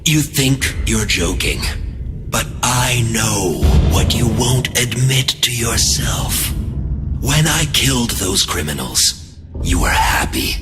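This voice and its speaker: An actor voicing a menacing male killer fused with a symbiote, whispering and taunting, then shouting suddenly. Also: medium pitch, lively intonation, slow pace. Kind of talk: video game cutscene voice acting. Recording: game audio with loud music.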